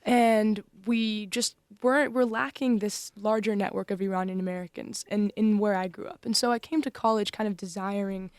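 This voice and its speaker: A woman's voice: mid-range voice, fairly even intonation, moderate pace, few pauses, clear, resonant tone, dry recording. A young woman from Northern California, a student club member, speaking earnestly in a discussion with frequent restarts. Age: young adult. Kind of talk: conversational discussion.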